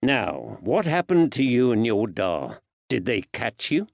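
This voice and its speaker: A man voicing a kindly character who gently coaxes answers from a child, with old-fashioned working-class phrasing. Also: medium pitch, wildly swooping pitch, unhurried, gravelly, dry recording, lo-fi sound, heavily acted. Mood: reassuring.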